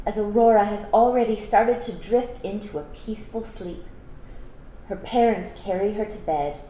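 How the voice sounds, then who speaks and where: A woman reads a storybook aloud for children with expressive delivery and explanatory asides. Her voice sits at a middle pitch. The room is echoey, with faint noise behind her. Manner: expressive, explains to young listeners. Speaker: storytime reader.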